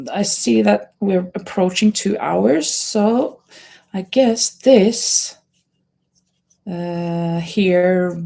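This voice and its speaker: A woman's voice: mid-range voice, vocal fry, darkish tone, husky. A Norwegian woman with a Norwegian accent, chatting casually to viewers as the host of a livestream. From Norway. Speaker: live stream host, crocheter.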